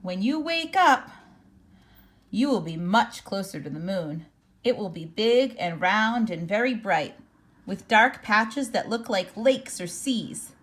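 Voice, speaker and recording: A woman reading a children's picture book aloud in a measured, expressive storytelling manner. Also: mid-range voice. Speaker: storybook reader.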